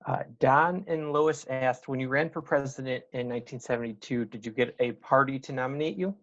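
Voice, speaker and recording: A man of medium pitch moderates an informal question-and-answer session, relaying questions from the audience. The sound is a little dull and lo-fi. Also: brisk, a bit bright.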